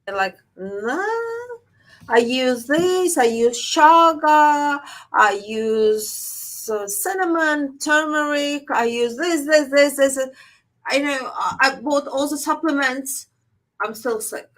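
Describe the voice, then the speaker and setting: A woman from Latvia, a surgeon, speaking as an interview guest in a halting way, repeating words as she goes. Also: sits high, fairly bright tone. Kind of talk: interview conversation.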